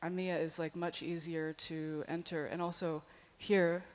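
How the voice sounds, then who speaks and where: A woman panelist giving a thoughtful, measured answer at a museum panel discussion. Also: sits low, little pitch movement. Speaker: panelist artist.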